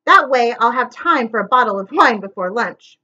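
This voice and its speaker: A woman's voice: fairly high pitch, expressive, sharp tone, muffled recording. A woman reading aloud and voicing a scheming, sneering adult male villain with a mocking, menacing manner. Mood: smug. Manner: mocking, menacing, drawn-out words.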